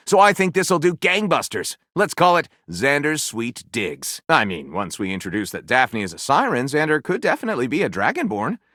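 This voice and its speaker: A man's voice: mid-range voice, fairly bright tone, dry recording, studio quality. A man playing a flippant, theatrical writer character in a video game, speaking with wry sarcasm and mock grandeur. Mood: playful, dramatic. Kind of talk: animated game dialogue, mock-grand title announcing.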